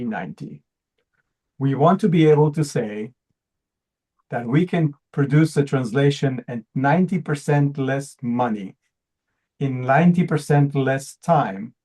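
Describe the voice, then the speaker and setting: A man whose first language is Hebrew pitches a project to an audience in an earnest way. His voice sits in a middle pitch with a mellow, darker timbre. He often repeats a word or restarts a phrase mid-sentence. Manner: earnest, repeats words while searching for phrasing. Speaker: presenter.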